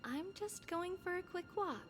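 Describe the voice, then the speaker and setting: An actress voicing a reserved, polite young noble maiden in a game. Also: female voice, extremely high pitch, lively intonation, a little slow. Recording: game audio with music.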